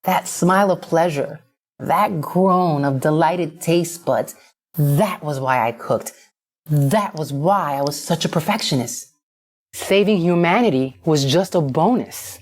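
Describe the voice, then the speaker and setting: Casting: female audiobook narrator, low register, expressive first-person fiction read with dramatic flair. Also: measured pace.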